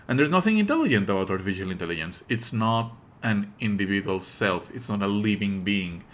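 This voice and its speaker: A man streaming live commentary, explaining in an opinionated, pedantic way with halting delivery and emphatic stresses. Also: on the low side, animated, fairly bright tone. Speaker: streamer and host.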